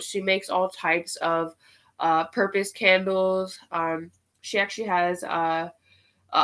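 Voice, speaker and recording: A woman with a cold and a nasal voice, unhurried and full of "um" fillers, giving spiritual guidance and leading a meditation on a live stream. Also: fairly low pitch, fairly bright tone. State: sick with a cold.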